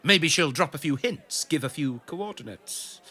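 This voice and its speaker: An actor playing a vain, scheming, rakish captain in a period audio drama, with a pushy, mocking, theatrical manner. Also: male voice, a little high, lively intonation, measured pace, sharp tone, a faint crowd.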